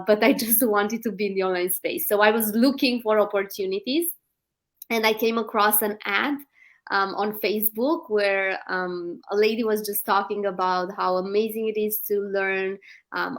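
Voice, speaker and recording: A woman's voice: mid-range voice, fairly bright tone. A woman in her thirties from Romania, a guest in a live online interview, talking earnestly with many ums and restarts.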